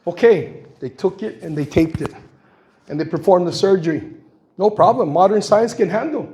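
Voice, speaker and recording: A man's voice: high voice, normal speed, dark tone. A man in his sixties from Guam, speaking at a public forum and telling stories with the quoted voices acted out. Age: 60s. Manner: storytelling, acts out quoted voices. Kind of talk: impassioned public comment. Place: public meeting hall.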